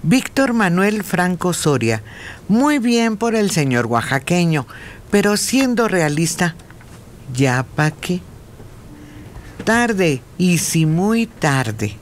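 A man co-hosting a radio show who reads listener messages aloud in a measured way. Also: high voice, sing-song, slight background noise. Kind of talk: reading messages on air.

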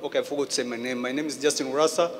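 A man from Tanzania in the audience asks a question at a conference, carefully and a little haltingly. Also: mid-range voice, steady tone, a little hiss or hum. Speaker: audience member asking a question. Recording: reverberant hall, faint ambience.

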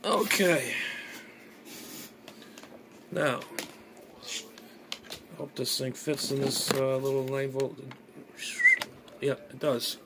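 Male hobbyist vlogger, mid-range pitch, halting think-aloud delivery, casual and unrehearsed, noisy phone recording. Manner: hesitant, muttering, thinking aloud. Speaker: amateur radio hobbyist vlogger. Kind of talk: casual unscripted gadget unboxing commentary. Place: small bedroom, indoors. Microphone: phone at arm's length.

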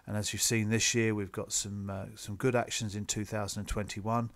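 A man presenting club business to members in a measured, businesslike, explanatory way, partly from a script. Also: on the low side, flat delivery. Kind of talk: slide presentation, semi-scripted explanatory talk.

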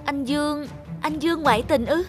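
Young cartoon heroine, high voice, played by a woman, heartbroken, earnest and emotive, over music. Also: normal speed, close to an everyday voice. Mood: shocked, pitying. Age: young adult. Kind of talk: cartoon dialogue and inner monologue. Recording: music under the voice.

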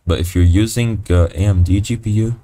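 A young man giving a casual software tutorial as a voice-over, talking in an informal, slangy way and swearing. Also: on the low side, fairly quick. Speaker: tutorial presenter. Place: voice-over on a computer screen recording.

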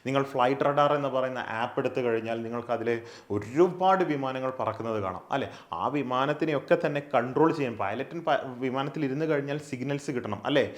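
A young man teaching an exam prep lesson. He asks questions and answers them himself, and he keeps adding quick tag questions. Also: mid-range voice. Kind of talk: explainer lesson on an exam, mixing English terms. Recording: echoey room.

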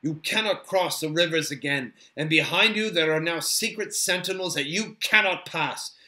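A man voicing a stern, dignified male elf sentinel in a storybook, formal, measured and commanding. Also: a little high, lively intonation, bright, forceful tone. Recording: roomy, echoing sound.

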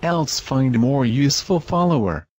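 A man's voice: mid-range voice, lively intonation, unhurried, darkish tone. A synthetic male text-to-speech voice reading a scripted video review in a mechanical way. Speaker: video narrator reviewing game mods. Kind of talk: scripted narration.

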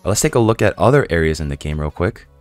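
Brief: young male gaming vlogger, very low voice, a bit hoarse, dry close sound, rambly casual chat. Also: lively intonation, fast talker, dry recording, soft background music. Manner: chatty, rambling, many fillers and self-questions. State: hoarse from a cold. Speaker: gaming YouTuber. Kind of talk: casual game commentary to viewers.

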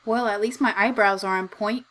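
A woman's voice: medium pitch, fairly even intonation, moderate pace, a bit bright, faint music behind. A young woman playing a game, giggly and bemused, pressing questions into a desk microphone. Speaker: gamer. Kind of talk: let's play commentary.

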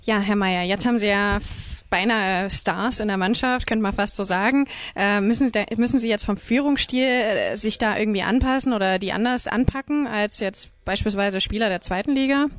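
A woman interviewing two coaches indoors with a handheld mic, brisk and switching between languages. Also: mid-range voice, dry recording. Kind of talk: sports TV interview. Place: indoor press wall, small room.

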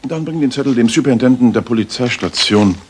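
An actor playing a keen, precise, authoritative gentleman detective in a German radio drama from the 1980s. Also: mid-range voice, quick pace, slightly rough, close to an everyday voice. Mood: commanding. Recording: slightly noisy retro radio recording.

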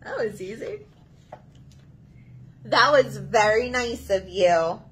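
A woman's voice: mid-range voice, lively intonation, slow pace, voice pushed down. A woman voicing a small, polite, innocent duckling from a picture book. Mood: polite, sweet. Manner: sweet, simple, polite.

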